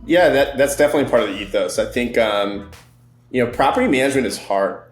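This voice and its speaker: A man's voice: medium pitch, lively intonation, fast, reverberant, soft background music. A man answering as a company guest in an interview, friendly and fluent with frequent fillers.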